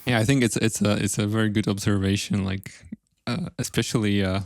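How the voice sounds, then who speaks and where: A man with a fairly low voice hosts a casual interview. His sound is dry and close, and he puts his questions politely, with some hesitation. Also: fairly even intonation, brisk, no echo. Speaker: podcast host, interviewer.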